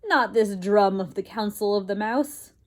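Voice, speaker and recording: A woman voicing a fussy, theatrical mother mouse with a put-on French accent, dismissive and quick to scold. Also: mid-range voice, slight background noise, close to an everyday voice. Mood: scornful. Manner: scornful, dismissive, rapid scolding.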